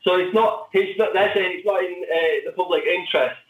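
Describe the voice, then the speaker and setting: A man speaking as an interview guest, discussing legal cases in a halting, repetitive way. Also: high-pitched. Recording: tinny phone-like line, distant and echoey.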